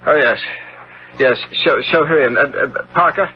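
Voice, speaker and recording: An actor playing a tormented, guilt-ridden gentleman in a 1970s radio drama, speaking in halting, theatrical phrases with repeated words. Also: medium pitch, lightly acted. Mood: uneasy politeness. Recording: muffled, noisy 1970s radio recording.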